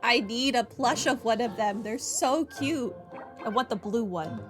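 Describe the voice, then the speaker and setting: A woman's voice: on the high side, unhurried. An American woman giving casual commentary while playing a game, chatty and full of wry asides. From United States. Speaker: gamer narrating a playthrough. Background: game music.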